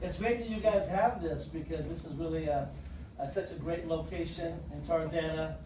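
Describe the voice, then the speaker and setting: A man's voice: a little high, normal speed, darkish tone. A man giving a casual, chatty motivational talk as a guest presenter, drawing a live audience in with questions. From born in Jordan, moved to America at five. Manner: chatty, engaging listeners with questions. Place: indoor room with live audience. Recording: echoey room, distant mic, background noise.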